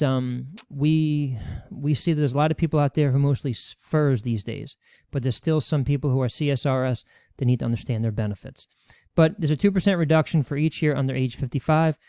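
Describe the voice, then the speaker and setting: A man's voice: mid-range voice, mellow, clear, resonant tone, no echo, studio quality. A man explaining retirement benefits rules as a webinar presenter, walking through them step by step with worked examples. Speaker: financial educator presenting a webinar.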